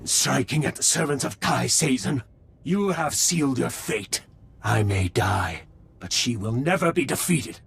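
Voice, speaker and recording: A fierce alien zealot warrior for a video game. The voice is gravelly and raspy, declamatory and solemn, swinging widely in pitch. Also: male voice, animated, measured pace, exaggerated voice. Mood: hostile, fanatical. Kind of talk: scripted game cutscene dialogue.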